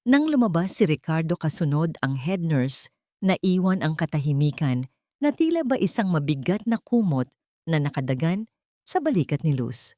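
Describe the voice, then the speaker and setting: A woman's voice: low voice, very animated, normal speed, dark tone, no room sound. A woman reading dramatic scripted narration as the storyteller of an audio drama.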